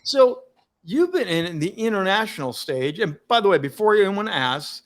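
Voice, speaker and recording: A man's voice: high voice, sing-song, moderate pace. A man hosting a live-streamed talk show, speaking in an upbeat, emphatic, promotional manner over a video call. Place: home studio, Washington DC.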